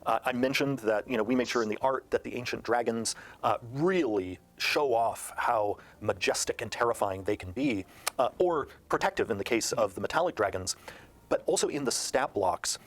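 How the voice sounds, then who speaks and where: A man's voice: mid-range voice, normal speed. Sped-up man giving an articulate product explanation. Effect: played back sped up. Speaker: game designer presenting his product. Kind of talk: promotional explanatory talk.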